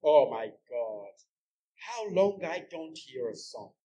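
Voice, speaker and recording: A man voicing a frustrated, lonely woman at home, with exasperated, scolding outbursts in a husky voice. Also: a little high, big pitch swings, slow pace, warm tone, exaggerated voice.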